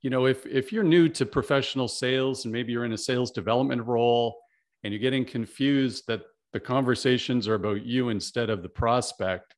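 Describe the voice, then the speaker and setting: A man's voice: medium pitch, fairly quick. A middle-aged man from Canada hosting an interview over a video call, friendly and full of "you know" fillers. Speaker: podcast host. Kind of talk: casual interview chat. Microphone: video call, desk mic.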